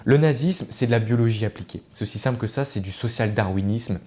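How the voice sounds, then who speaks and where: A man with a fairly low voice speaks as an online video essayist. He explains things in an earnest, teacherly way, and his informal delivery wanders into asides and self-corrections. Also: quick pace, a little mellow. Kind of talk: informal explanatory political commentary to viewers.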